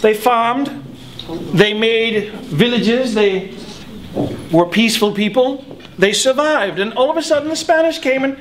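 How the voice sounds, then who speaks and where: A man's voice: extremely high pitch, unhurried, fairly bright tone. An actor playing a sarcastic colonial man with a British accent who mocks and interrupts in a live history reenactment. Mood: mocking. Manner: mocking, interrupting, theatrical. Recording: echoey room with background noise.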